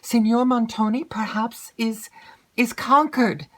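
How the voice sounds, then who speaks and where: A woman voicing a young gentlewoman who speaks softly and earnestly, trying to stay calm. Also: a little high, takes it slowly, voice pushed up.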